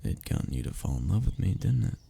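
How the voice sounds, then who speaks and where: A man playing a husky, low-voiced young romantic boyfriend. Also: big pitch swings, brisk, warm tone, exaggerated voice. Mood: embarrassed, defensive. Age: young adult. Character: young man, childhood friend turned tender, self-deprecating romantic lover. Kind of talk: intimate romantic ASMR audio roleplay.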